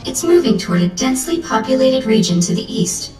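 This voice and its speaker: A woman's voice: fairly high pitch, sing-song, brisk. A woman voicing a formal, deferential aide giving reports in a video game. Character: formal female aide reporting to her master. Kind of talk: dramatic video game acting. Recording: roomy echo, loud music.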